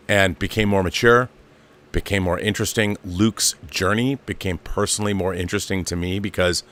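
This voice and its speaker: A man's voice: low voice, a bit bright. A man in his fifties hosting an online show, talking off the cuff in a casual, emphatic fan monologue and repeating phrases for stress. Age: 50s.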